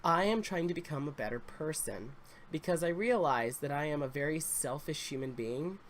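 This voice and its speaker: A man's voice: fairly high pitch, expressive, normal speed, slight background noise. Congested young man rambling casually. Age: 20s. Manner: rambling and confessional, many fillers and restarts. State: congested, slightly raspy. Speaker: Sims YouTuber. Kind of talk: casual story time chat.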